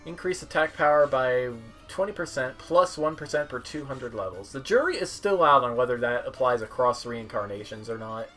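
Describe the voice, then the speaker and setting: A man doing casual game commentary as a streamer, halting as he goes and dropping wry asides. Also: mid-range voice, lively intonation, fairly bright tone. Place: small room at home, indoors. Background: game music.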